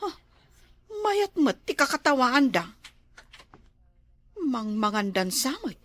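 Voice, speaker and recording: A woman's voice: sits high, sing-song, slow pace, fairly bright tone, full character voice. A woman playing an envious, fault-finding wife in an Ilocano radio drama, grumbling and drawing out her words. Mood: sour, resentful.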